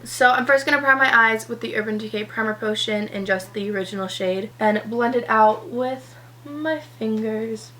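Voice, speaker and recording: A teenage girl with a slightly raised pitch, a little hoarse from a cold, talking through her makeup in a loose, rambling way, full of "um" and "like". A faint hum sits under her voice. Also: normal speed, pushed and bright, low-quality recording. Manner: rambling, lots of "um" and "like". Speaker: beauty vlogger. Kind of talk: chatty get-ready-with-me vlog.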